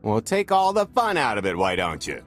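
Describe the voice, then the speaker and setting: An actor playing a cocky, wisecracking space smuggler in a video game cutscene, breezy and sardonic. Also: male voice, fairly low pitch, wildly swooping pitch, normal speed. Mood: sardonic, persuasive. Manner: breezy, sardonic, charming swagger.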